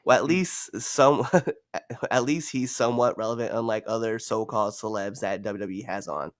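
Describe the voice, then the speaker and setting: A man's voice: fairly low pitch, moderate pace, no echo, studio quality. A man co-hosting a wrestling podcast, trading jokes in loose banter, laughing often and swearing freely. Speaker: podcast co-host.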